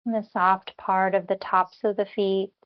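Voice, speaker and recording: A woman leading a guided body scan meditation as a teacher, speaking in gentle, unhurried instructions with long pauses. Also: mid-range voice, little pitch movement, highs cut off.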